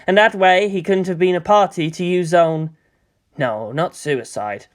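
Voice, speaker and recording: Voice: medium pitch, unhurried, natural voice. A narrator voicing a guilt-ridden old male mentor with a brooding manner, in an audiobook. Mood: guilt-stricken.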